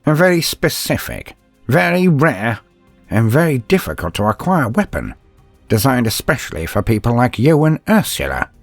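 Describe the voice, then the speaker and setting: A man voicing a sardonic, unruffled inquisitor with a cruel streak, genteel and toying, in a dark sci-fi story. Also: medium pitch, wildly swooping pitch, normal speed, dry recording, soft background music. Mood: breezy, reassuring. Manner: breezy, genteel, toying with menace.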